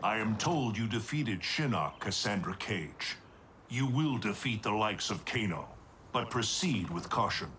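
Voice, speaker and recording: An actor playing an ancient, protective guardian god in a fantasy game, speaking in a formal, stately, deliberate way. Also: male voice, fairly low pitch, lively intonation, a bit bright, slight background noise. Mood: solemn, counseling.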